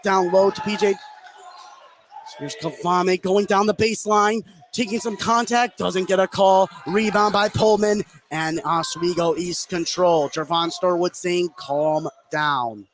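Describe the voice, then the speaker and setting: A man with a fairly high voice gives live basketball play-by-play for radio. He is courtside in a gym, with clear noise all around him. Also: steady tone, a little slow. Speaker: play-by-play sports commentator. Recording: clearly noisy gym ambience.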